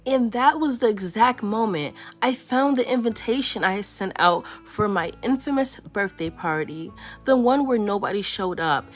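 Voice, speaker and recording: Woman reading a storybook with lively swings. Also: medium pitch, moderate pace, faint music behind. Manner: expressive, playful storytelling. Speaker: children's book reader. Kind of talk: animated read-aloud of a picture book.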